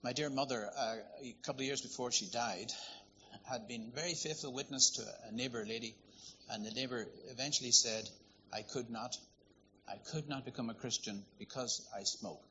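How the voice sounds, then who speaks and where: A man from Ireland, preaching a sermon in a lively, conversational, storytelling way. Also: medium pitch, moderate pace, dull audio, a little hiss or hum. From Northern Ireland.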